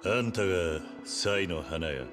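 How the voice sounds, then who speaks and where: Low-voiced gangster, stoic and tough, terse gruff delivery, video game drama, music behind. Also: male voice, lively intonation, measured pace. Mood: stern, guarded. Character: stoic, tough yakuza ex-convict man. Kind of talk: video game cutscene acting.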